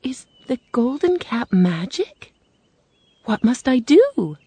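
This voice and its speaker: A woman's voice: a little high, sing-song, takes it slowly, mellow, husky, no echo, pitched above the performer's own voice, exaggerated voice. A woman voicing an earnest, determined young girl in a storybook. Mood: questioning.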